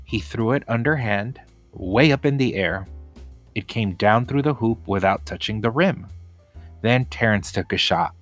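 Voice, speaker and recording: Lower-pitched man reading a children's storybook. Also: unhurried, a bit bright, faint music behind. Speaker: storyteller reading a children's book chapter aloud. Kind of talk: animated scripted storybook narration.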